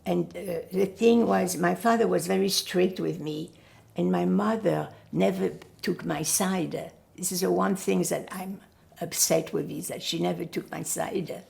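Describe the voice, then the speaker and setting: An older woman whose first language is Yiddish, recalling her family in an oral history interview, pausing often to search for words. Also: fairly low pitch, fairly even intonation, vocal fry, a little mellow. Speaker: Holocaust survivor giving testimony.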